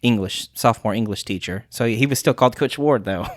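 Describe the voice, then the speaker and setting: A man's voice: mid-range voice, fairly quick, no room sound, studio quality. A man, chatting casually as a podcast host, rambling and teasing. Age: late 20s. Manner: rambling, teasing, trails off mid-sentence. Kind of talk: casual chat.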